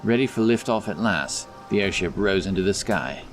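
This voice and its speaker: Deep-voiced male fantasy narrator. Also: lively intonation, moderate pace, over loud music. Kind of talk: scripted fantasy story narration.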